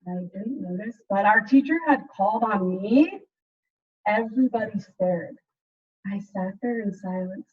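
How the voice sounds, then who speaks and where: A woman teacher reading a storybook aloud to students in an animated, chatty way, with casual asides. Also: medium pitch, a little slow, breathy edge, narrow, tinny audio. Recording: distant mic, thin band-limited sound.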